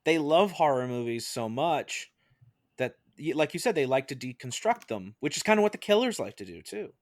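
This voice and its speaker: A man's voice: fairly high pitch, very animated, moderate pace, no echo. A man chats casually as moderator and panelist on a film podcast. His voice sounds close and dry, with no room around it. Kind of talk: casual chat.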